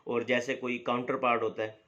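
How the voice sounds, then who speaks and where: A man's voice: medium pitch, flat delivery, fairly quick, sharp tone. A man lecturing on law. He explains step by step, asks a question and then answers it himself. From Pakistan. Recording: dull lo-fi recording.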